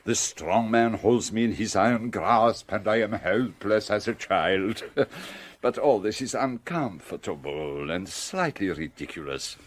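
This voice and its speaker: An actor playing a shrewd, courteous detective with a French accent in a radio drama, speaking in a measured, theatrical way. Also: male voice, fairly low pitch, animated. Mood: wry, amused. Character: shrewd, composed Belgian male detective, wryly courteous.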